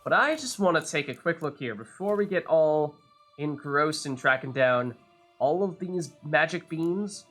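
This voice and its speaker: A man's voice: a little high, expressive, measured pace, fairly bright tone, faint music behind. A man giving casual live commentary on a video game, chatting and thinking aloud as he plays. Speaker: game streamer, host.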